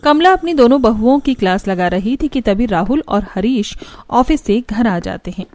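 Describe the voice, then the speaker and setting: A woman's voice: a little high, lively intonation, normal speed, darkish tone, no echo. A woman giving scripted narration for a cartoon family story.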